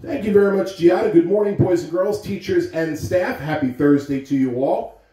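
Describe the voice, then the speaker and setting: A man's voice: high voice, normal speed, warm tone. An American man in his thirties giving morning announcements and reading a storybook aloud to children. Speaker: school principal or staff announcer and story reader. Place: carpeted living room at home. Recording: roomy, distant phone camera recording.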